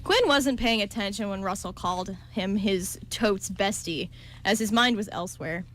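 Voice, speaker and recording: A woman's voice: mid-range voice, normal speed, a bit bright, a little hiss or hum. A woman narrating a scripted story as part of a radio play.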